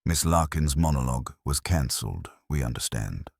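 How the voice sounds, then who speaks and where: A courteous police inspector in a mystery audiobook, played by the narrator, a man. He probes gently, formal and restrained, in a very deep voice with a dry sound. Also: expressive, normal speed, gravelly, slightly rough, no echo, full character voice. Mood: calm probing.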